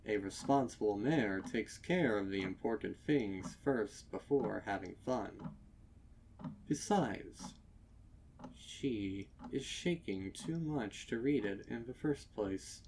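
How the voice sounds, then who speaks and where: A man reading a scripted horror story as narrator, with deliberate, dramatic delivery. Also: fairly low pitch, expressive, noisy background. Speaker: narrator of fan fiction readings.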